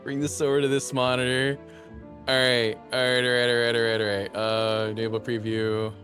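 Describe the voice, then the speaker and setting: A young man streaming live, chatting casually; he is excitable, laughs often and is losing his voice. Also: medium pitch, normal speed, faint music behind. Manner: excitable, laughs often, repeats words in bursts.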